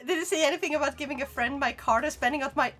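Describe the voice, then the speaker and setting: Bright high-voiced Swedish woman streaming. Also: rapid-fire, soft background music. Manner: chatty and playful, talking to chat while playing. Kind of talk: casual gaming livestream chat.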